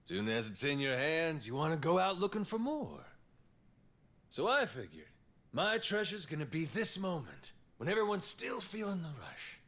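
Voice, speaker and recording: An actor playing a roguish, cocky yakuza pirate captain in a video game, with a gravelly voice and slangy, swaggering talk. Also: male voice, fairly high pitch, wildly swooping pitch, normal speed, fairly bright tone, exaggerated voice. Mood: reflective. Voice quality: gravelly, creaky. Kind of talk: dramatic video game cutscene acting.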